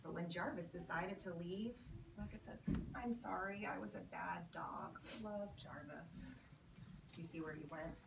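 A woman's voice: lowish voice, unhurried, gravelly. A woman, a teacher, reading a picture book expressively to young children and prompting them with questions as she projects to the group. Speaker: elementary school teacher. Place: elementary school classroom, indoors. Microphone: camera across the room. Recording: roomy, noisy classroom, distant camera mic. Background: children's voices and classroom noise.

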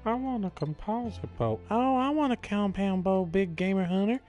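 A man's voice: sits high, lively intonation, normal speed, a little mellow. A man giving casual, sweary video game commentary, often playfully repeating himself in a sing-song way. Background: video game music and sounds.

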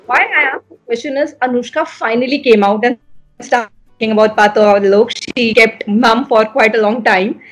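A woman's voice: on the high side, steady tone, moderate pace. A young woman hosting an entertainment interview over a video call, asking chatty, enthusiastic questions. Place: indoor room. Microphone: laptop camera on a video call.

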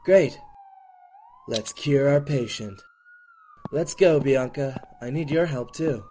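A man's voice: medium pitch, takes it slowly, dark tone, a little hiss or hum, lightly acted. A man voicing an upbeat, confident, teasing doctor in a comic fan dub. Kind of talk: dubbed comic dialogue.

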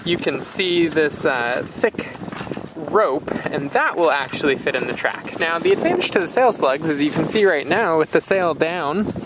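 A woman's voice: low-pitched, normal speed. A woman in her thirties talking casually to a handheld camera on a sailboat, explaining things with plenty of fillers. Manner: relaxed, explanatory, with many fillers. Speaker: sailing vlogger. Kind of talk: casual vlog narration to camera. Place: outdoors on a sailboat on open water. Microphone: handheld camera at arm's length. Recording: loud wind noise on the microphone. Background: wind and water.

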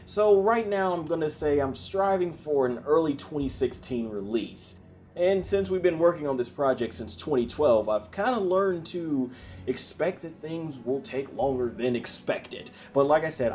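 A man's voice: a little high, expressive, normal speed, darkish tone. A man in his twenties hosting a project update vlog as an independent filmmaker, speaking to camera with an emphatic, showy presenter delivery. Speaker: independent filmmaker and video channel host. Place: small indoor room at home. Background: music.

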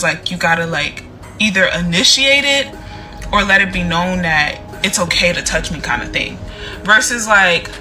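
Chatty young woman, bright voice, in a car. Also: lowish voice. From United States. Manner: chatty, many fillers, questioning. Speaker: content creator. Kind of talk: casual chat to camera. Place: parked car. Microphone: phone at arm's length.

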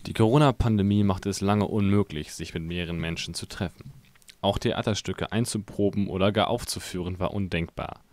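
A man's voice: deep voice, brisk, a little mellow, no echo. A man reading scripted narration as a news reporter.

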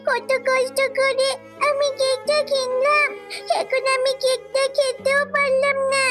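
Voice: very high voice, monotone, slow pace, over music. A performer voicing a poor, whiny and eager little girl in a children's cartoon story. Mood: tearful.

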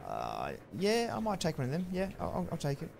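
A man's voice: a little high, wildly swooping pitch, fairly quick, vocal fry, noisy background. Laid-back Australian man streaming a game. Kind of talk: casual live-stream chat while gaming. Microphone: headset.

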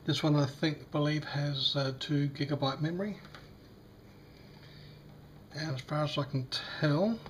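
A man with a slightly croaky voice, demonstrating a device in a casual, hesitant way with many fillers. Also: fairly high pitch, measured pace, long pauses, slight background noise. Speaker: tech unboxing vlogger. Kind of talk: casual product demo. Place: small indoor room at a table.

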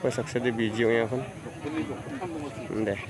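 A man's voice: mid-range voice, flat delivery, measured pace, a loud crowd. A man filming a casual walking vlog on his phone at a rural outdoor fair, chatting and greeting people as he goes. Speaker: vlogger. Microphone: handheld phone camera.

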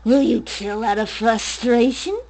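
A woman's voice: fairly high pitch, a little slow, darkish tone, poor audio. A woman voicing a gleefully sinister game villain prince, mocking and menacing, stretching words for effect. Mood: mocking menace. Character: gleefully sinister, taunting prince of a kill-or-be-killed world.

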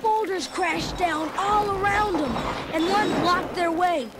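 A woman's voice: very high-pitched, takes it slowly, very noisy. A woman voicing a chubby, food-loving boy who narrates a storybook record with chatty, comic asides. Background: music.

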